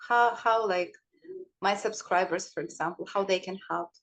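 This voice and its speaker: A woman's voice: medium pitch, moderate pace, fairly bright tone, poor audio. A woman hosting an interview, effusive and emotional, repeating words as she praises and asks questions. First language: Ukrainian. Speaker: interviewer, channel host.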